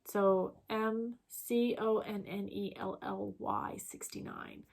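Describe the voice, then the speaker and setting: A woman's voice: medium pitch, fairly even intonation, takes it slowly, creaky. A woman, co-hosting a casual stitching chat, rambling and chatty with plenty of fillers. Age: 40s. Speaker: co-host.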